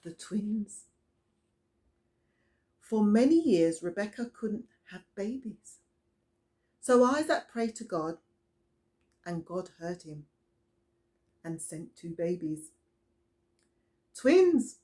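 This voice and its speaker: A middle-aged woman reading a children's Bible story aloud as a storyteller, deliberate and expressive, recorded indoors in a small room. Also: mid-range voice. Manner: deliberate, expressive storytelling with questions to young listeners.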